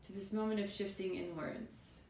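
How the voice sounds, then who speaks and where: Woman meditation guide, soothing and spacious phrasing; roomy, echoing recording with faint background rumble. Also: fairly even intonation, dark tone. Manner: soothing, unhurried phrases with pauses. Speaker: yoga and meditation teacher. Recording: roomy, distant mic, faint noisy rumble.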